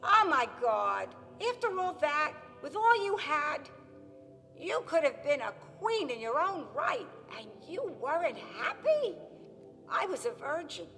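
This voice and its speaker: A woman plays an excitable, wounded housewife in a theatrical radio play. Her voice is high and bright and gushes with exclamations. It rings in a long hall reverb. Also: unhurried, soft background music, exaggerated voice. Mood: incredulous. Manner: gushing, exclamatory.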